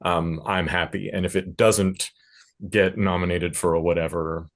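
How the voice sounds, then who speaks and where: A man's voice: low-pitched, steady tone, unhurried. A man in his thirties speaking as a panelist in a casual, reflective way, easygoing and colloquial. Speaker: panelist, music professional.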